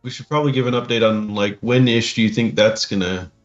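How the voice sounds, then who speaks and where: A man's voice: fairly low pitch, fast talker, faint music behind. A man hosting a podcast in casual chat, heard through dull, muffled sound. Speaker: podcast host.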